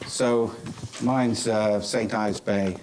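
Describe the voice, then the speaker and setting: British male academic presenter, lowish pitch, hesitant semi-formal delivery, slight background noise at a conference. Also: fairly even intonation, extremely slow, a little mellow. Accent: British English. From Cornwall, England. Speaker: professor giving conference closing remarks. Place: indoor business school conference venue. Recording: clearly noisy conference room recording.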